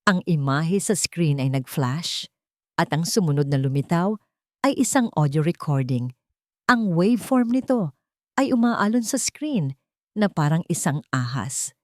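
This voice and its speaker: Low-voiced woman narrating drama. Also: expressive, darkish tone, no room sound, studio quality. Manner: measured, dramatic storytelling. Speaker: audiobook narrator. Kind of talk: scripted first-person dramatic narration.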